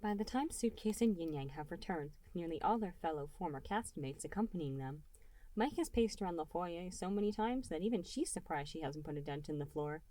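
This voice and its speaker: A woman reading scripted fan fiction as an expressive narrator. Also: fairly low pitch, fairly quick, low-quality recording. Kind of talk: expressive scripted story narration with character dialogue.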